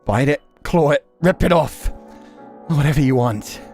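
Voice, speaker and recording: A rough-tongued young game hero, acted out by a male reader, pitched up from a low register and pushed forward. He is blunt and quick to curse, yet coaxing and protective. Music plays clearly underneath. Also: expressive, darkish tone. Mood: strained, soothing. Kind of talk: dramatic reading of visual novel dialogue.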